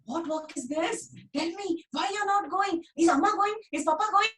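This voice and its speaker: A woman's voice: very high voice, moderate pace, fairly bright tone, voice pushed up. A woman voicing a curious, impatient nine-year-old boy who pesters the adults with questions. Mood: eager, curious. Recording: roomy, from a distance.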